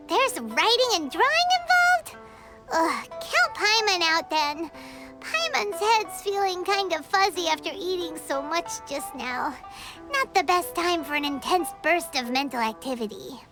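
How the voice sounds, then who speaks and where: A woman's voice: measured pace, loud music behind the voice, full character voice. A woman voicing a tiny, bubbly, food-loving female fairy companion in a video game, speaking of herself in the third person. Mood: whiny. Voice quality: high squeaky childlike voice, talks of herself in third person. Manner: bouncy, excitable, whiny.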